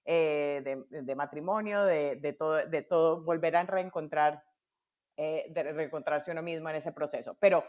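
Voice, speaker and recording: A woman hosts a casual interview in a lowish voice, stopping and restarting her sentences often and dropping English words into her speech. The sound is dull and lo-fi. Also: a little mellow. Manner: halting, many restarts, mixes English words. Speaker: podcast host. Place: lives in Dallas, United States.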